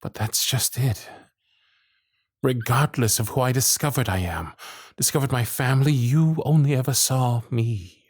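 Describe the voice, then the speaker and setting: A man playing an earnest, lovestruck young gentleman with a tender, halting, pleading delivery in a romantic audio roleplay. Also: medium pitch, no echo, clean studio sound, lightly acted. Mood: pleading, confessional. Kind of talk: romantic ASMR roleplay acting.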